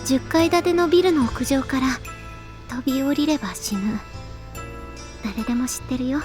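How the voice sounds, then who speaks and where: A young woman playing an earnest, gently cautioning teenage schoolgirl in a Japanese visual novel. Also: high voice, animated, fast, over music. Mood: explaining.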